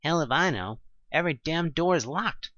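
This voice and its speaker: A man acting a gruff, world-weary male survivor with a salty, folksy, offhand way of talking in an amateur audio drama. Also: a little high, lively intonation, normal speed, sharp tone, no room sound, highs cut off, pitched above the performer's own voice. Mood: grumbling.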